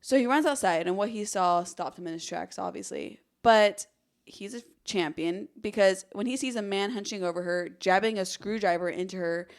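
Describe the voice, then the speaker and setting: A woman's voice: mid-range voice, moderate pace. A woman hosting a true crime podcast, telling the story dramatically with casual conversational asides.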